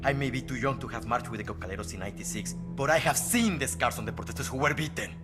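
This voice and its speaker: An actor plays a young, idealistic Bolivian son in a video game, with a Latin American Spanish accent. He talks quickly and with big swings, indignant and mistrustful, as music plays behind him. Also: mid-range voice, lively intonation, lightly acted. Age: young adult. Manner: indignant, accusing.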